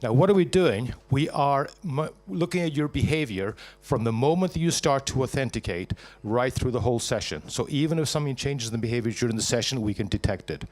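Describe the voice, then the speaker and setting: A Scottish man giving a live startup pitch to an audience, jokey and punchy, asking and answering his own questions. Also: expressive, fairly quick, hardly any pauses, darkish tone. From Scotland.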